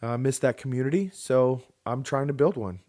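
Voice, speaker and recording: A man's voice: medium pitch, fairly quick, mellow. A man in his thirties hosting a casual podcast, talking in a rambling, frank way with some mild swearing. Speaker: podcast host.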